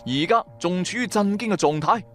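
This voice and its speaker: A man's voice: sits high, brisk, a bit bright, soft background music. A man playing a shrewd, wry trader who narrates his own adventures in colloquial, sardonic radio-drama style. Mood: wry, animated. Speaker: narrator and lead character.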